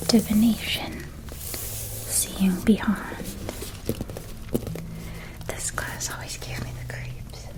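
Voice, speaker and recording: A woman voicing a gentle, doting witch parent in a soft, breathy whispered ASMR roleplay, tender and softly enunciated. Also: mid-range voice, natural voice, low-quality recording. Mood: tender, nostalgic. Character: gentle doting witch parent helping a child pack for school.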